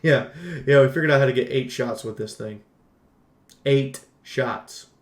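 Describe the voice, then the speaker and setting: A man's voice: mid-range voice, measured pace. Man in an echoey room, casual game commentary. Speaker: video game reviewer and streamer.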